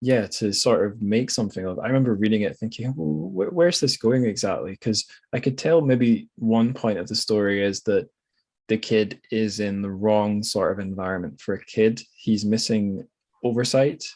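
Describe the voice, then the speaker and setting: A man with a slightly low voice hosts a bookish podcast. He talks casually and thoughtfully, feeling his way through ideas and rephrasing mid-sentence. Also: moderate pace, a little mellow. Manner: hesitant, reflective, self-correcting. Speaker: podcast host. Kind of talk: casual literary podcast discussion.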